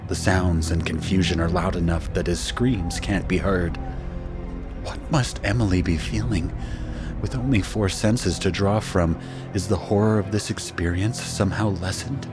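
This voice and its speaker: An actor playing a shaken husband and father who narrates his ordeal in a grave, measured, first-person style for an audio drama. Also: deep voice. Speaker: narrator and main character.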